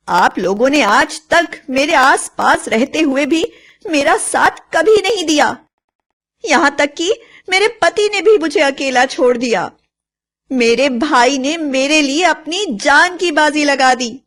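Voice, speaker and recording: A woman's voice: sits high, fairly bright tone, heavily acted. A woman voicing a protective, devoted sister and young mother in a children's story, speaking earnestly and with emphasis. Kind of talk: dramatised children's story voice acting.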